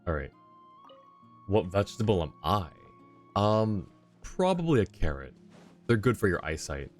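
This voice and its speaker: A man's voice: deep voice, big pitch swings, unhurried. A man streaming a game live, chatting casually and laughing often with muttered asides. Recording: game music faint behind.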